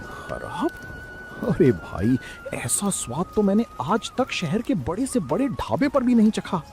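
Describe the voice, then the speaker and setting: A man plays a village headman in a cartoon story. He speaks with gruff authority and exclaims dramatically, his slightly high voice swinging widely. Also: wildly swooping pitch, a little slow, noisy background, heavily acted. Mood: delighted. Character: gruff, commanding male village headman who loves food.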